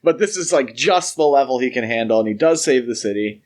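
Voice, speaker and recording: Guy co-hosting a movie podcast, casual and analytical, kinda thinking out loud as he goes. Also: medium pitch, animated, a little fast. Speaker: podcast co-host. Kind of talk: casual film discussion.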